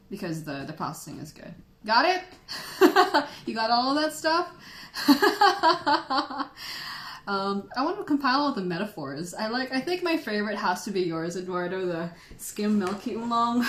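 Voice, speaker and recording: Woman tea host from the Philippines, chatty and giggly, roomy echo around her, everyday pitch. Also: a bit bright. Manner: chatty and playful, laughs often. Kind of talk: casual livestream chat answering viewers. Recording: echoey room.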